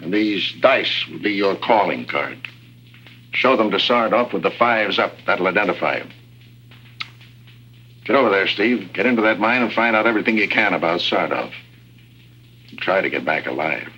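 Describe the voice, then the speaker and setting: Old radio drama casting: earnest agency official, lowish voice, matter-of-fact, faintly noisy muffled recording. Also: male voice, expressive, moderate pace, long pauses, bright, forceful tone. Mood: grave, urgent. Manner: matter-of-fact, grave briefing. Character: brisk, earnest male intelligence office aide. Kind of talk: 1950s radio drama acting.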